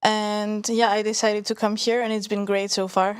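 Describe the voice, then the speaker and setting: A young woman from Greece, a university student guest, speaking calmly and softly in casual chat with some hesitation. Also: mid-range voice, moderate pace, clear, resonant tone. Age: young adult.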